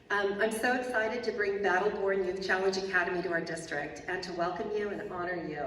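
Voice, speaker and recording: A woman's voice: medium pitch, fairly bright tone, a little hiss or hum. A woman speaking for a congressman's office, graciously presenting a recognition at a ceremony. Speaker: congressional staff representative. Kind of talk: presenting an award. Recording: echoey hall from far off.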